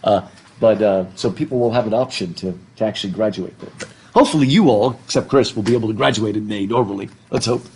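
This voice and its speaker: A middle-aged man lectures a classroom in front of a blackboard, his mellow voice thick from a stuffed up nose. He jokes, laughs and asks the students questions as he goes. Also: on the low side, fast, slight background noise. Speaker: professor. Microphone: laptop webcam.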